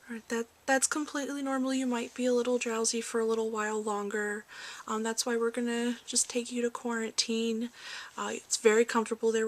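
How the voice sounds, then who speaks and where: A woman with a fairly high, soft voice that often drops toward a whisper speaks as a doctor in an ASMR exam roleplay. She is patient and reassuring. There is faint noise on the recording. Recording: clearly noisy amateur recording.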